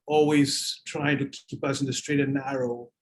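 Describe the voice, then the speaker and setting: A man chatting casually and reflectively as a guest, halting and pausing mid-phrase. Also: medium pitch, little pitch movement, slight background noise. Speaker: guest author.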